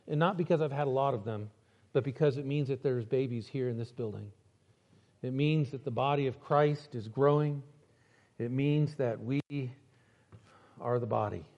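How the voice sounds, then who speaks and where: A man delivering a church sermon, slowing down to stress key words. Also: fairly high pitch, warm tone, lo-fi sound. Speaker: preacher. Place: church building.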